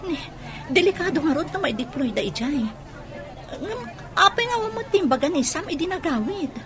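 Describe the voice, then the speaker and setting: A woman's voice: sits high, sing-song, moderate pace, a crowd in the background. An actress playing a soldier's worried wife who complains about her husband in an Ilocano radio drama. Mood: puzzled.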